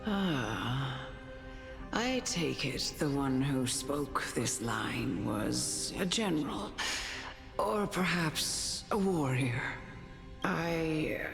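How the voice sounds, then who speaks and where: Deep, slow, serene noblewoman. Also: exaggerated voice. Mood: gently musing. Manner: measured, philosophical, formal phrasing. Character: serene, wise noblewoman, a gentle motherly mentor. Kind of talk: scripted fantasy game dialogue. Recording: long reverb, clear music behind.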